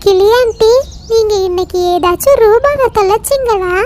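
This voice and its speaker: A woman's voice: very high voice, normal speed, background noise, pitched above the performer's own voice. A woman voicing an earnest, polite young girl bird in a children's cartoon. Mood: polite, curious. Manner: polite, questioning, firm. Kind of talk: children's cartoon story acting.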